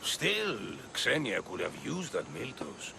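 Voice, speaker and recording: A man playing a gruff, foul-mouthed, gossipy sailor who talks coarsely and scornfully. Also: lowish voice, wildly swooping pitch, slow pace, background noise, full character voice.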